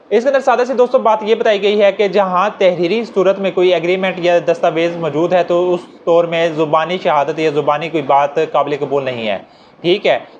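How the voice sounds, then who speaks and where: A man with a Pakistani accent lectures in a conversational, explanatory way, with a pitch a little above average for a man. He repeats key points as he goes. The recording is dull and lo-fi, with faint noise behind him. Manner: conversational, acting out hypothetical examples, repeating points. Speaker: law lecturer. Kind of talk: informal explanatory law lecture. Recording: dull lo-fi audio with noticeable noise.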